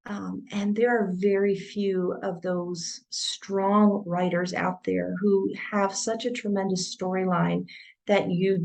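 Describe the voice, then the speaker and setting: A woman's voice: mid-range voice, darkish tone, rough recording. A woman who writes books, talking casually as a guest in a podcast interview.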